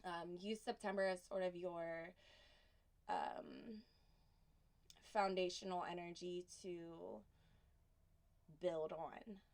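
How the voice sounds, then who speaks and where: A woman from Houston giving a casual tarot reading, soft and unhurried, pausing often, a little sniffly from allergies. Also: fairly low pitch, very animated, gravelly. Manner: soft, unhurried, reflective delivery with pauses. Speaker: tarot reader.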